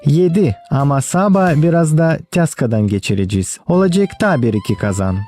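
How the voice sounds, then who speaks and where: A man's voice: a little high, expressive, fairly quick, warm tone, natural voice. A man voicing a practical, patient village man who explains work in a children's cartoon. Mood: instructive. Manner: instructive. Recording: music behind the voice.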